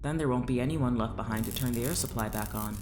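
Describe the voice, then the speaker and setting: An actress playing a defiant, sarcastic captive in an audio drama, snide and mock-patient. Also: female voice, extremely low pitch, quick pace, noisy background.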